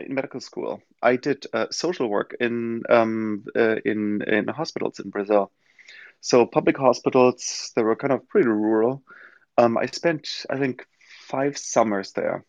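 A man in his forties from Germany with a German accent, answering interview questions in a thoughtful way with many pauses. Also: medium pitch, gravelly, no room sound. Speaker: guest, company founder. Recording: dull lo-fi audio-room stream.

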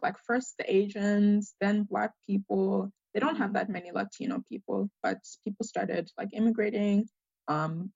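A young woman from Zambia with a mixed Zambian, Australian and American accent, answering interview questions hesitantly with many pauses. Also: medium pitch, gravelly, darkish tone, slightly rough, no room sound. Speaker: guest. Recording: dry, dull lo-fi sound.

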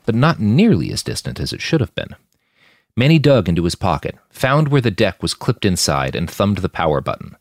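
A man narrates dramatic fiction in a low voice that swings widely in pitch. The sound is dry and close, and his delivery is expressive and emphatic. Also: creaky, no echo. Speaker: author narrating own fiction.

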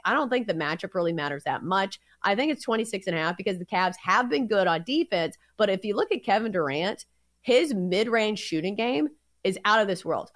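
A woman with a Southern accent hosting a sports betting podcast, breaking down picks in a steady, analytical way with frequent filler sounds. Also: medium pitch, no echo, studio quality. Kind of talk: casual sports betting chat.

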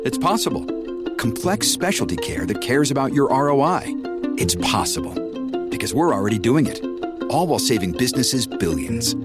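Man, corporate ad read, lively intonation, loud guitar music bed. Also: medium pitch. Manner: confident, punchy rhetorical. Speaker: ad voice-over.

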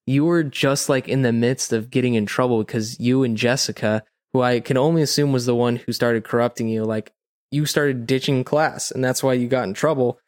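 Male podcast co-host, direct and conversational, medium pitch, informal phrasing, very dry sound. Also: fairly even intonation, a little fast, dry recording. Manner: informal, direct, peppers speech with "like". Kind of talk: casual chat, questioning.

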